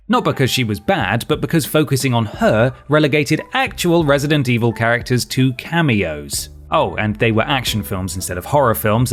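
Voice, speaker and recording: A man presenting a ranked list as scripted comedic narration, with a dry, sardonic delivery. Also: mid-range voice. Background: background music.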